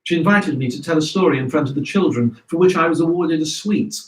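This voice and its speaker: A man reading a scripted memoir aloud in a measured storytelling way. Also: a little high. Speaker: reader of memoirs. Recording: roomy echo, distant microphone.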